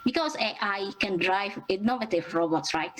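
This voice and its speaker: A young woman from Indonesia with an Indonesian accent, presenting at an academic conference in a halting way, with many fillers and restarts. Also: medium pitch, a bit bright, soft background music. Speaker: student presenter.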